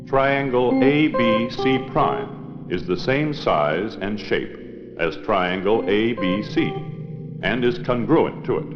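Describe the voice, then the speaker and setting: A man narrating an educational film from a script in a measured, deliberate, precise manner. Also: on the low side. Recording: dull old 16mm film soundtrack, long reverb, loud music.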